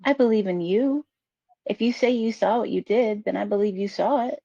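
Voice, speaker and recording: A woman's voice: medium pitch, normal speed, creaky, warm tone, no echo, highs cut off. A woman playing a worried, loving mother in a dramatic table read. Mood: tender, worried. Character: worried loving mother of a troubled daughter, later terrified.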